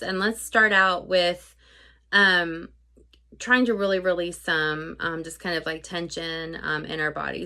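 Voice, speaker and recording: A woman leading a guided meditation in an informal, conversational way, unscripted, with halting phrasing that trails off softly. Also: medium pitch.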